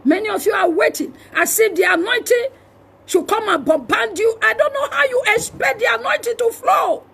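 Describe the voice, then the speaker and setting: A Nigerian woman preaches in a very high-pitched voice with a gravelly creak. She delivers a fiery charismatic sermon, exhorting forcefully and repeating phrases for emphasis, often at a shout. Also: normal speed. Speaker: preacher and prophet.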